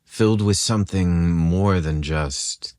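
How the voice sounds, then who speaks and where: A man narrating a scripted romantic story in an intimate, unhurried way. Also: sits low, darkish tone, dry recording, clean studio sound.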